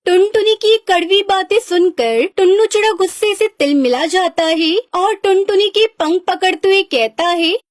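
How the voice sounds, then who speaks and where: Very high-pitched woman narrator. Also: moderate pace, few pauses, no room sound, studio quality. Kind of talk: scripted story narration.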